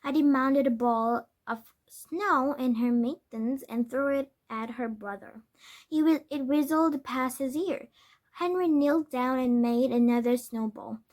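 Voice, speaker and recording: A woman narrates a children's storybook in a high-pitched voice. Her pace is slow and halting, and she stumbles over words and corrects them as she goes. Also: darkish tone. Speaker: read-aloud storyteller. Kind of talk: scripted children's book narration.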